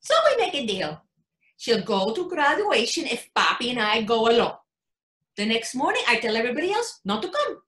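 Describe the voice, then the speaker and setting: A brash, exasperated mother played by a woman telling a story on stage. Her bright voice leaps around in pitch with punchy, animated delivery. It is recorded in an echoey room. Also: normal speed. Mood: mischievous. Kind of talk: readers theatre monologue.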